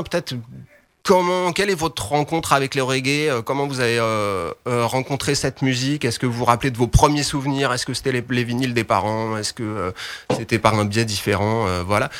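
A man hosts a radio round-table from the studio, at an ordinary middle pitch. He is courteous and a little halting, leaning on frequent fillers as he passes the microphone around. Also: a bit bright. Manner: polite, hesitant, frequent fillers. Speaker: radio host.

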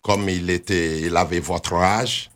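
Low-voiced male musician guest, easygoing, drops in English phrases. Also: unhurried. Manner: relaxed, mixes English phrases into his talk. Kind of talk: radio interview storytelling.

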